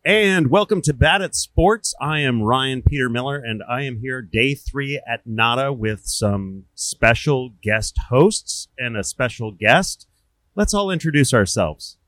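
A man's voice: mid-range voice, animated, measured pace, a bit bright, no echo. A man hosting a podcast, speaking casually as he interviews guests on air. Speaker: podcast host.

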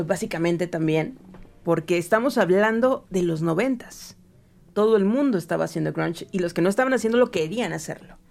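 A woman talks through music history on a casual podcast. She tells it with lively energy and plenty of filler words. Faint music plays underneath. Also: mid-range voice. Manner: animated, storytelling with many "o sea" fillers. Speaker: podcast co-host.

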